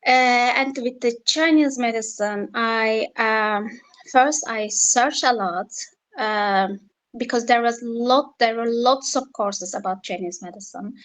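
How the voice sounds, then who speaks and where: Guest: woman, slightly high voice, hesitant, lots of fillers. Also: fairly bright tone. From Turkey. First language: Turkish. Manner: halting, many fillers and restarts. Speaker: yoga teacher guest. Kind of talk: casual interview answers.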